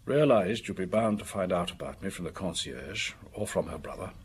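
A lonely, respectable man in a radio drama, low and very husky. His pitch swings widely, and he speaks in formal, halting phrases with an anxious edge. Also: lively intonation, exaggerated voice. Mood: guarded. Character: lonely, anxious, respectable married office man, secret lover.

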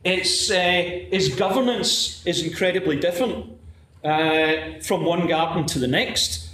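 A man's voice: fairly high pitch, a bit bright. Glasgow man giving an academic talk. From Scotland. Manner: measured, frequent uh fillers and restarts. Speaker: conference presenter. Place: lecture room at a podium, standing. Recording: echoey lecture hall, faint room hiss.

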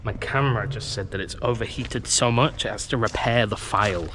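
A man's voice: fairly low pitch, brisk. A man travel vlogging as he explores an abandoned coastal military base in the heat, chatty and exclamatory, talking into a handheld camera. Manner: chatty, exclamatory, nervously excited. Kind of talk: casual walking commentary. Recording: outdoor noise with handling clicks.

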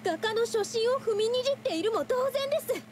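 An actress voicing a timid yet principled young female painter in an anime-style game, polite, soft-spoken and earnest. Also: very high voice, expressive, a little fast, a little hiss or hum. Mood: firm, indignant. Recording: game music behind.

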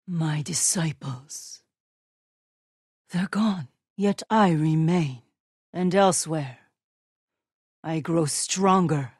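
A woman's voice: deep voice, very slow, pauses often, darkish tone, breathy edge, no room sound, clean studio sound, exaggerated voice. An actress playing a vengeful demon witch and wronged mother in a video game, menacing and theatrical. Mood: brooding, menacing. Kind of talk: dramatic video game villain acting.

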